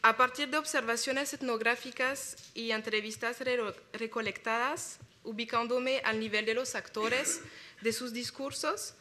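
A woman's voice: fairly high pitch, fairly even intonation, sharp tone. A young woman with a French accent, French her first language, presenting her doctoral research in careful, hesitant Spanish at an academic conference. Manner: careful, measured delivery with hesitations. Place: lecture hall with a large audience.

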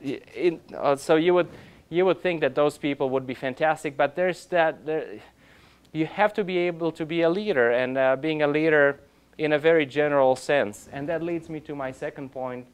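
A man in his thirties, an orchestra conductor, giving an informal lecture in a classroom, halting and restarting often but growing animated, recorded by a camera across the room. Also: on the high side, clean tone. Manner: halting, many restarts and fillers, grows animated. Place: classroom with projector.

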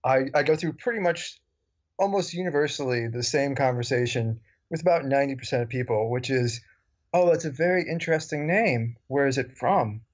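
A man's voice: medium pitch, fairly quick. Wry man on a dull lo-fi recording. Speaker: podcast co-host. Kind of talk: casual podcast discussion.

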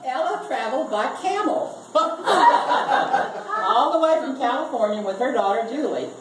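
A woman reads a humorous script aloud in an amused way, pausing deliberately between phrases. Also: sits high, animated. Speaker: family reunion speaker reading a comic Mad Lib aloud. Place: garage full of seated relatives. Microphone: camera a few meters away. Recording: distant camera mic, echoey garage, loud noise.

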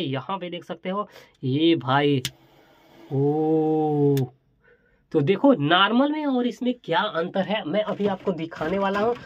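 A man hosting a casual product showcase, talking to viewers in an exclamatory, repetitive, hyping way. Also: a little high. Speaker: host of a toy and gadget showcase channel. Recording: slightly dull, echoey room recording.

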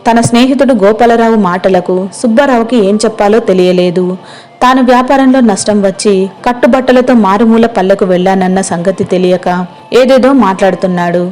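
A woman's voice: medium pitch, a little fast, a little mellow. A woman narrator reading a scripted moral story.

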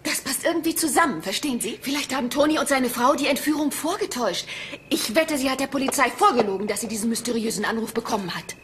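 A woman's voice: high-pitched, fast, fairly bright tone, slight background noise. An actress playing a naive, chatty woman angling for a reward in a German crime radio play, rambling and ingenuous. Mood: excited, speculating.

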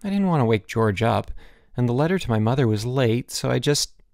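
A man's voice: mid-range voice, animated, fairly quick, vocal fry, a little mellow, no echo, clean studio sound. A man voicing an anxious schoolboy who protests defensively in an audiobook story. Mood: pleading protest. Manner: defensive, indignant. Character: anxious, protesting schoolboy pleading with his headmaster.

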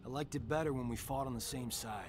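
A man's voice: mid-range voice, fast, noisy background, close to an everyday voice. An actor playing a cocky, wisecracking male action-hero fighter in a video game cutscene. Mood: wry, urgent.

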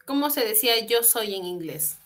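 A woman's voice: on the high side, lively intonation, normal speed, fairly bright tone. A young woman teaching English in an online class, explaining exercises patiently and switching between Spanish and English, heard through a laptop on a video call from a small room at home. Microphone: laptop webcam on a video call.

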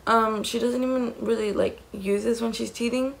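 A young woman with a raspy voice, chatting casually as she shows the contents of a bag to the camera in a small room, rambling with many fillers. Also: fairly high pitch, fairly even intonation, normal speed. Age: young adult. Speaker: mom vlogger. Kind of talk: casual show-and-tell vlog. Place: small indoor room against a plain wall.

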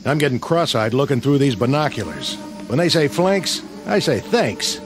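An actor with an American accent playing a gruff, sarcastic, war-weary army sergeant in a radio drama. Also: male voice, medium pitch, very animated, normal speed, over music, lightly acted. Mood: wry, weary. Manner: sarcastic, wisecracking, blunt.

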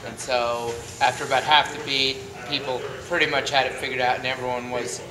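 A man's voice: medium pitch, little pitch movement, brisk. A middle-aged man casually recounting a race, step by step. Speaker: sailing competitor. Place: large covered open-sided patio. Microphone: camera a few feet away. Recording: echoey pavilion, clear background noise.

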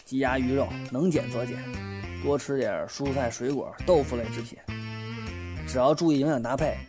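A man voice-acting an impulsive, soft-hearted young bookstore boss in a Chinese audio drama, exclaiming and animated. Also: medium pitch, normal speed, over music, natural voice. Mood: earnest, insistent. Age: young adult. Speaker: voice actor.

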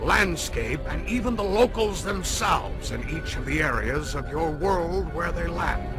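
A man's voice: fairly high pitch, lively intonation, unhurried, music in the background. A man voicing a tiny old alien scientist in a video game, speaking in a condescending, pedantic way. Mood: lecturing, grave. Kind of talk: video game cutscene acting.

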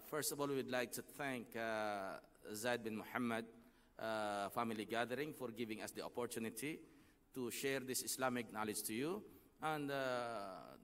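A middle-aged man hosting a lecture, introducing the speaker in a measured way with many pauses, speaking through a desk microphone on an outdoor stage. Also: mid-range voice, a bit bright. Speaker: host. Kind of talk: formal introduction. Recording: long reverb of a large open venue.

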